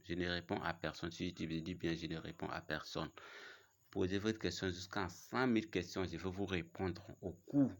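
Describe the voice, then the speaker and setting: A man with a cold giving a casual, challenging religious talk to his viewers, repeating himself and pausing often. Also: sits low. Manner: challenging, repetitive, halting. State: has a cold. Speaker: religious video host. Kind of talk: casual religious preaching to camera.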